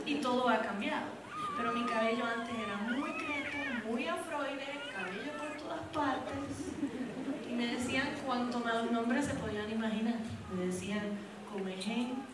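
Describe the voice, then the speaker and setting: A young woman giving a lively, humorous church testimony and speaking directly to the congregation. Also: a little high. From raised in Puerto Rico. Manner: lively storytelling, addresses the audience directly, with humor. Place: church hall. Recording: echoey hall, distant mic, noisy.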